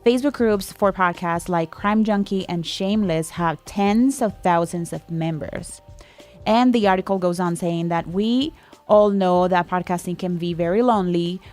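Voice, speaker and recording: A woman's voice: lowish voice, normal speed, a little mellow, faint music behind. A woman co-hosting a podcast, presenting news items in a casual, chatty style. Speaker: podcast co-host.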